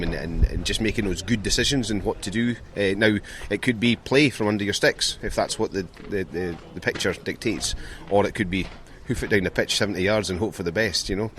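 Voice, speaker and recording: Male coach, low pitch, measured and hesitant, faint outdoor noise. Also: a bit bright. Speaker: rugby club coach being interviewed. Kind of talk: pre-match sports interview.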